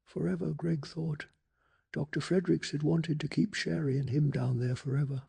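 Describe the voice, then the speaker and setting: A man narrating a bedtime story in a soft-spoken ASMR style, with a breathy, hushed and dramatic delivery. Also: fairly high pitch, steady tone, moderate pace.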